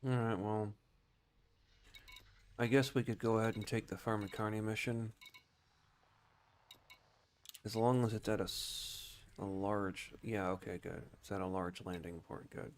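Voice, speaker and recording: A man's voice: fairly low pitch, steady tone, moderate pace. A man giving casual gameplay commentary as he streams a game, at times calling out short voice commands. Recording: clear background noise.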